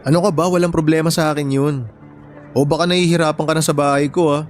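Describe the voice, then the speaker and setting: An actor playing an easygoing, helpful young bachelor in a radio drama, with casual, conversational delivery. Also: male voice, a little high, steady tone, fast, close to an everyday voice. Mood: casual, kind. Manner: casual, teasing, reassuring. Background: music bed.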